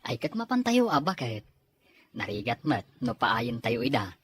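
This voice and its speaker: A man playing a devoted, patient working husband in a radio drama, with a husky voice. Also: mid-range voice, very animated, unhurried. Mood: tender.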